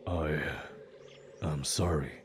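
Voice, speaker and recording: Casting: male actor as humble grieving dad in fantasy audio drama, extremely low voice, slow, earnest delivery over noisy ambience. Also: steady tone. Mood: pleading. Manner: slow, halting, earnest pleading. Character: desperate grieving father of a sick child, humble pleader.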